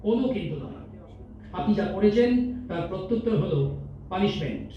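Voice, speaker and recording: A man's voice: sits high, expressive, brisk, dark tone. Guy playing a pompous impatient office boss telling someone off, drops english words, stage audio drama, far from mic in a big echoey room. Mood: stern, scolding. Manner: scolding, pompous, peppers speech with English. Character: stern, condescending male company boss, business-minded and impatient. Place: multipurpose hall stage. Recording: distant echoey hall, dull, faint noise.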